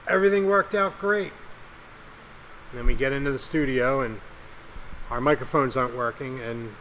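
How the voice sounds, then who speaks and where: A man co-hosting a casual podcast, his voice bright and forceful but a little raspy from a cough. He rambles and jokes loosely. There is a faint air-conditioner hum behind him. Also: mid-range voice, moderate pace, rough recording. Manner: rambling, jokey, swears casually. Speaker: podcast co-host and producer.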